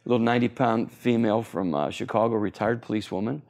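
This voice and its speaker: A man's voice: on the low side, quick pace, a little mellow. A man in his sixties, an oral surgeon, being interviewed on a TV set, explaining eagerly with many fillers. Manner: enthusiastic, explanatory, frequent fillers. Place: TV studio.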